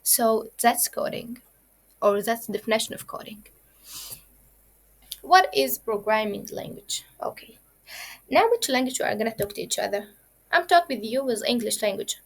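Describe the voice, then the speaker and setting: A woman teaches children the basics of coding. Her voice sits a little high, and she explains each point step by step and repeats it, with plenty of fillers along the way. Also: animated, moderate pace, creaky, pauses often. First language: Arabic. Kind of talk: kids' coding lesson.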